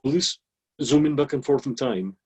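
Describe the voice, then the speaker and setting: A man of middle pitch with a husky voice, moderating over a video call. He talks loosely and rambles with fillers, then introduces the next speaker. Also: normal speed, darkish tone. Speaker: conference moderator. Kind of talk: casual chat and speaker introduction. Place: countryside house.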